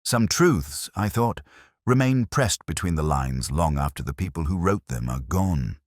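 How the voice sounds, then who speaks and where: A man narrating a scripted first-person detective story in a solemn, measured, literary manner. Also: deep voice, animated, no echo, studio quality. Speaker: audio drama narrator.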